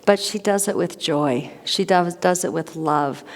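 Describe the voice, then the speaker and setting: Low-voiced woman minister in a reverberant sanctuary. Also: unhurried. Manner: warm, gushing, rambling with repeated "so". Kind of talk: sermon welcome and announcements. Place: church sanctuary.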